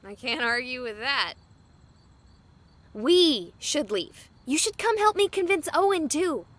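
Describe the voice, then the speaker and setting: A woman's voice: sits high, big pitch swings, takes it slowly, fairly bright tone, no echo, heavily acted. A woman voicing a sarcastic, exasperated young female streamer in a horror video game, wisecracking and dramatic. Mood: wary, impatient.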